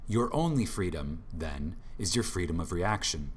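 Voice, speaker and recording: A man reading an audiobook from a script in an emphatic, deliberate manner, with dramatic pauses for effect. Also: deep voice, expressive, slightly rough. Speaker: audiobook narrator.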